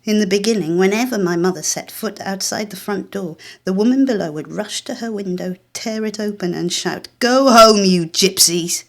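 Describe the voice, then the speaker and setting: A woman with a British accent reading a literary short story in a measured, expressive, dramatic way. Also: mid-range voice, gravelly. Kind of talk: literary short story narration, first person.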